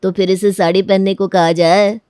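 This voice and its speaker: A woman's voice: medium pitch, level tone, moderate pace, mellow, clear, resonant tone, no room sound, clean studio sound, close to an everyday voice. A woman voicing a cocky young female college senior who taunts and bosses, acted for a moral story. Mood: mocking, bossy. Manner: taunting, bossy. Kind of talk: Hindi moral story character acting.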